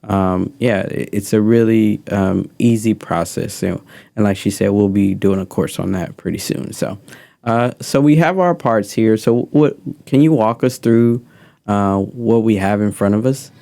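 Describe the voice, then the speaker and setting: A man teaching music recording in a relaxed, rambling way, explaining a studio session. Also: on the low side, a little slow, creaky. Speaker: music mentor. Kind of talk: casual teaching.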